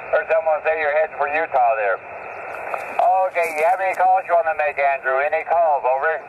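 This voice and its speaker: Man on a muffled, noisy shortwave radio. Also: on the high side. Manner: halting, repetitive, folksy. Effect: shortwave radio transmission. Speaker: radio net controller. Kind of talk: amateur radio contact talk. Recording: dull, hissy single-sideband radio reception.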